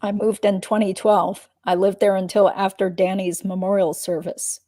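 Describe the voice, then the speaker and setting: A woman reading the part of a guarded witness in a deposition, giving terse, measured answers. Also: medium pitch, flat delivery, warm tone, lightly acted. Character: guarded adult female witness being deposed. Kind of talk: dramatic reading of a legal deposition transcript.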